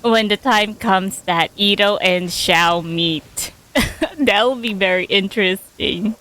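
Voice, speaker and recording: Slow-talking woman streaming a game. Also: medium pitch, fairly bright tone. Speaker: gaming streamer. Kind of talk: casual game playthrough commentary. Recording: faint background noise.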